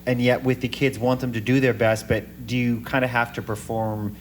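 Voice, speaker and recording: A man's voice: medium pitch, little pitch movement, normal speed, slight background noise. A man co-hosting a podcast, rambling and repeating words as he frames long questions. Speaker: podcast co-host. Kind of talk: casual interview.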